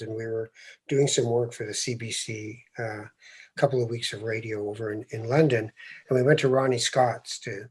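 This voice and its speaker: A man hosting a podcast interview, speaking reflectively and growing animated as he makes his points, with easy laughs. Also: mid-range voice, slightly rough. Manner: thoughtful, animated when making a point, laughs easily. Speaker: podcast host.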